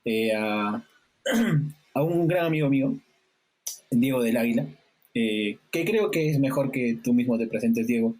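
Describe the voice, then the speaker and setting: Husky-voiced male podcast host. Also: mid-range voice, a little slow, pauses often, poor audio. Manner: relaxed, friendly, hesitant fillers. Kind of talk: casual interview conversation. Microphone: remote call setup.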